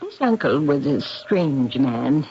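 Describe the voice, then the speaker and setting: A woman's voice: sits low, sing-song, slow, slight background noise, full character voice. An actress playing an anxious, guilt-ridden aristocratic English lady in a 1970s radio drama, speaking in an earnest, refined, confessional way. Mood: guilt-ridden, pleading. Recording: dull vintage radio broadcast.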